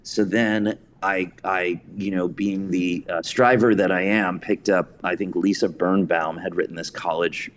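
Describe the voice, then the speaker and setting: A man's voice: low voice, steady tone, unhurried, few pauses, a little hiss or hum. An American man in his fifties telling personal anecdotes in an interview, with a self-deprecating, storytelling manner. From suburban New York, United States. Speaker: podcast guest, theater writer and director.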